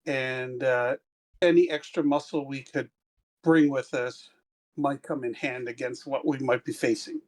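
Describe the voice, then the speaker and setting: A man playing a tabletop game, speaking in a slow, hesitant way. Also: mid-range voice, fairly even intonation, a little mellow. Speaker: player.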